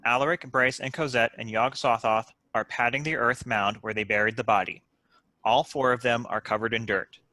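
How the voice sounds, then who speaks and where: A man's voice: mid-range voice, fairly even intonation, moderate pace, bright, forceful tone, dry recording. A man giving scripted narration, reading out the stage directions of a screenplay.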